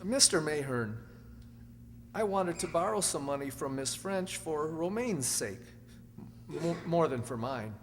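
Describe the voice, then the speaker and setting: Young husband, played by a man, pleading and emphatic radio-drama delivery, lighter pitch, faint hum behind. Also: expressive, a little slow. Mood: explaining. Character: earnest, anxious young husband protesting his innocence. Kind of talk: amateur radio drama acting. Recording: echoey hall, faint hum.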